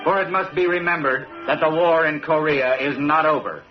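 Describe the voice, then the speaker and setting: A man's voice: a little high, moderate pace. A man delivering scripted mid-century documentary narration with solemn, dramatic phrasing. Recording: muffled old broadcast recording, music behind.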